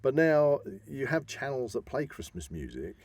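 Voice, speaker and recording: A man's voice: medium pitch, very animated, moderate pace, darkish tone, dry recording. A middle-aged man with a British accent, talking casually and emphatically as a podcast co-host. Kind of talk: casual conversational podcast talk.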